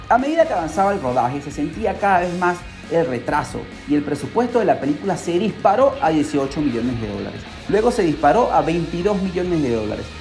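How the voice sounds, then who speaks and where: A man's voice: a little high, darkish tone. A man hosting a podcast, telling a story casually and animatedly, with rhetorical questions and asides to the listeners. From Peru. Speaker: podcast host. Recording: music behind the voice.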